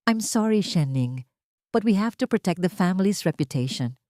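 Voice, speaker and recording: A woman's voice: on the low side, lively intonation, moderate pace, mellow, no echo, close to an everyday voice. A woman narrator voices an arrogant Filipino husband in an audio drama. He speaks with cold, formal dismissal, like a business announcement, in a dry, close recording.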